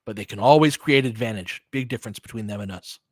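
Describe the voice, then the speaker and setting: A man's voice: on the low side, fast talker, no echo, clean studio sound. A man giving casual, slangy live basketball play-by-play as a partisan fan host, often in short clipped phrases.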